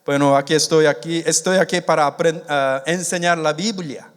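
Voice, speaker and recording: A man born in Korea and raised in the United States, preaching a sermon in a halting way, with many restarts, and stretching out the key words. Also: a little high, steady tone, clear, resonant tone. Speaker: missionary preacher. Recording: long hall reverb.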